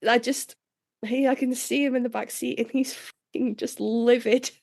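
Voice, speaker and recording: A woman co-hosting a casual, joking fan podcast, telling things in an animated way and swearing freely. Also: a little high, moderate pace, gravelly. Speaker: podcast co-host.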